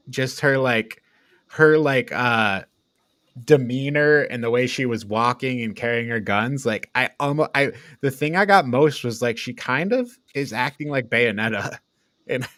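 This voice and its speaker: A man with a mid-pitched voice hosts a relaxed review discussion. He speaks informally and haltingly, with plenty of filler slang. The sound is dry and free of room echo. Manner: informal, halting, frequent "like" and "dude". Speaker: host of a media review podcast. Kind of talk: casual movie review chat.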